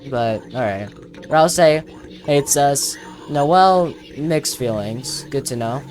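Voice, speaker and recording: A man's voice: medium pitch, animated, slow, darkish tone. A man commentating casually on his own video game playthrough, thinking aloud as he plays.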